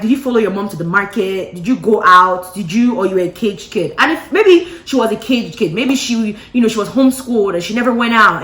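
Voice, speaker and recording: A woman vlogger casually telling a gossipy story to her viewers, animated and full of playful asides. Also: medium pitch. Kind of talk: casual gossip chat to camera. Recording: roomy echo.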